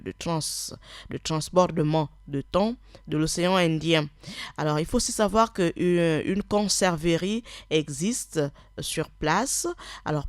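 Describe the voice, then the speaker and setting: Woman radio host, Punu native speaker, halting unscripted delivery with self-corrections, dry close studio sound. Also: lowish voice, no echo. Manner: unscripted, hesitant, with restarts and "hein" and "alors" fillers. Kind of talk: radio talk presentation.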